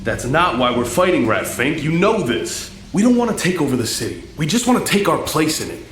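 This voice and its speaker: A mutant rebel leader, earnest and solemn, with a rather high, very expressive voice. He speaks emphatically in a roomy space with faint noise behind, like a cartoon drama hero. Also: brisk. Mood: rousing, defiant. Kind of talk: animated audio drama acting.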